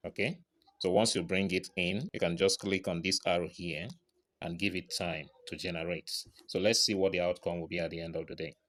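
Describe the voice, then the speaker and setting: Male tutorial presenter, low register, informal step-by-step narration, frequent little confirmation tags. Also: steady tone, brisk, a bit bright. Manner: frequent check-in tags like right and okay.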